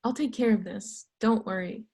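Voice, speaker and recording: A woman reading the part of a cautious older male physician and astronomer, hesitant and gently apologetic, in an amateur readers theatre. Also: mid-range voice, normal speed, creaky, breathy edge. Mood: reassuring.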